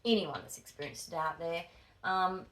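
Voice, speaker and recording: A woman's voice: fairly low pitch, moderate pace, a bit bright. A woman from Denmark chatting casually in a blunt, opinionated, sweary way, as a vlogger. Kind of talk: casual chat.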